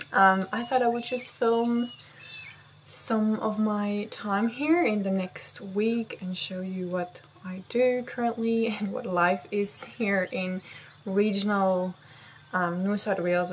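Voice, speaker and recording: A woman's voice: medium pitch, slight background noise. A young woman chatting casually to camera outdoors, in a hesitant, meandering way. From Belgium. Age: young adult. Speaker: vlogger. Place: outdoors on a house porch.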